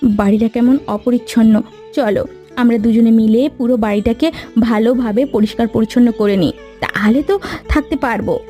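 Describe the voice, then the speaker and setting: A woman voicing a gentle, dutiful young wife in a fairy-tale cartoon. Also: on the high side, moderate pace, soft background music, lightly acted. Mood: gentle concern.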